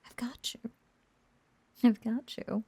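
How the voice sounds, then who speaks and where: A woman voicing an obsessive, possessive witch in an intimate roleplay audio drama, cooing and coaxing in soft, breathy half-whispers. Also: a little high, fairly even intonation, takes it slowly, exaggerated voice. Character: lovesick yandere witch, obsessive, possessive, sweetly unhinged.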